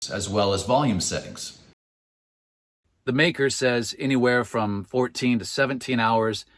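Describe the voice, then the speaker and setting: A man presenting a product review as scripted narration, with measured, clearly phrased delivery. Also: mid-range voice. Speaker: product reviewer and channel host.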